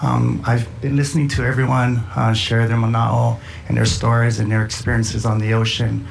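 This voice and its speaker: Middle aged hawaii guy testifying, really gravelly, halting, faint noise behind him. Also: mid-range voice, level tone, low-quality recording. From Big Island of Hawaii. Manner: halting, deliberate. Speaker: fisherman and farmer giving testimony. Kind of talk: public testimony. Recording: hearing room with faint noise.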